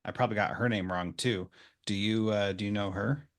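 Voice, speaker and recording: A man with a fairly low voice hosts an interview podcast. He talks in a relaxed, chatty way, with frequent fillers and restarts, and keeps the tone friendly. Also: fairly quick. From United States. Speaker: podcast host. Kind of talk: casual interview chat.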